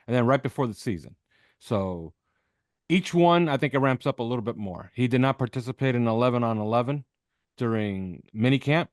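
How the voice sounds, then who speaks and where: A man's voice: medium pitch, no room sound, clean studio sound. Emphatic man giving sports analysis. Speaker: podcast host appearing as guest analyst. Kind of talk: sports interview commentary. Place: video call with backdrop behind.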